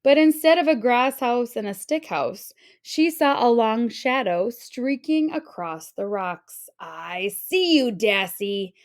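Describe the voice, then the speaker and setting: A woman reads a children's story aloud. Her voice sits fairly high, and she takes her time, narrating in an expressive, deliberate way.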